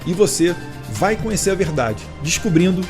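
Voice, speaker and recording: A man's voice: high voice, expressive, moderate pace, music in the background. A man hosting an interview show, asking questions with friendly, joking interjections. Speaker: host.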